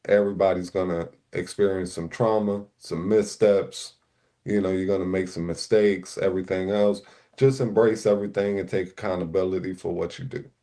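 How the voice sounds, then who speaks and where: A man's voice: sits low, level tone, moderate pace, mellow. A man born in Puerto Rico and raised in Newark, New Jersey, a panel regular talking in casual livestream chat, laid-back with many "you know" fillers.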